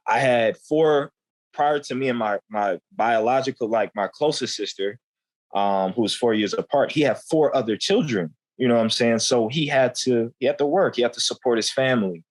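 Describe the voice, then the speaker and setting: A young man in his twenties speaks in a middle register, chatting candidly as an interview guest. His talk is loose and slangy, full of "you know what I'm saying," and his stories run long. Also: medium pitch. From United States. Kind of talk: candid conversational storytelling.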